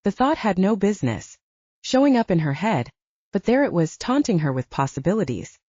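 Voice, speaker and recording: A woman's voice: medium pitch, big pitch swings, a little fast, warm tone, no echo, lo-fi sound. A woman reading a romance novel aloud as a scripted audiobook narrator.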